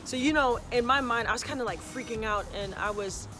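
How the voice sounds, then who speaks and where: Animated young woman vlogging outdoors over music. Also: a little high, brisk. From Trinidad and Tobago. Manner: animated, talks with her hands, says y'all. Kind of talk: casual faith vlog. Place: outdoors on an open concrete rooftop. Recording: music playing clearly behind.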